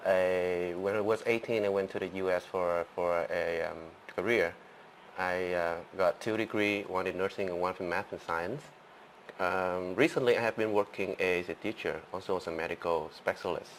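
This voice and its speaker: A man's voice: low-pitched, fairly even intonation, normal speed, a little hiss or hum, poor audio. A Vietnamese man with a Vietnamese accent, co-hosting a live English lesson and explaining things in simple words as he rambles. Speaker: co-host.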